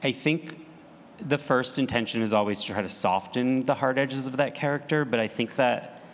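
A man's voice: mid-range voice, moderate pace. A man giving a thoughtful answer as a writer on a panel. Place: large reverberant hall at a live panel. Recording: echoey hall reverb.

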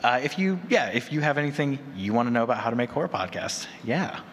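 A man's voice: mid-range voice, sing-song, fast talker, a bit bright. A man speaking conversationally as a podcaster on a panel. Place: large convention room with audience. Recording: echoey hall PA, noisy room.

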